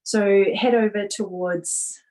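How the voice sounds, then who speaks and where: A woman leading a guided visualisation meditation, speaking softly in a gentle, soothing manner with drawn-out pauses. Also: medium pitch, steady tone, rough recording.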